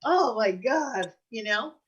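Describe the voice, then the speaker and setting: A woman's voice: on the high side, expressive, slow, slightly rough, muffled recording. An older woman co-hosting a show, talking in a chatty, effusive way in casual conversation. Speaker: podcast co-host and producer. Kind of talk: casual chat.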